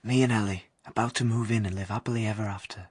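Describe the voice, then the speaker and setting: Blunt, husky young husband. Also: male voice, fairly low pitch, fairly even intonation, quick pace, darkish tone. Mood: brooding narration. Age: young adult. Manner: blunt, colloquial. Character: brash young working-class husband, defensive and quick-tempered. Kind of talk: radio drama acting and first-person narration.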